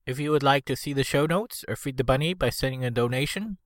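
A man reading a scripted show outro in a slow, deliberate way, carefully spelling things out. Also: mid-range voice, no echo, clean studio sound. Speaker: show announcer.

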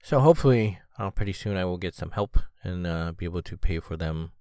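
A man hosting a solo podcast, talking in a rambling, halting way full of fillers, with a sniffly cold and a slightly rough throat. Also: deep voice, animated, a little mellow, no room sound, studio quality. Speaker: podcast host. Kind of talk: casual solo podcast monologue.

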